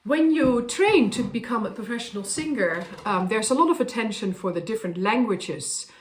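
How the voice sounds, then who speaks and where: A woman from the Netherlands with a Dutch accent, a professional singer explaining her work in a thoughtful way with soft fillers, speaking at her harpsichord. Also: fairly high pitch, animated, moderate pace, reverberant. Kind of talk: casual explanation to camera. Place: room with a harpsichord, indoors.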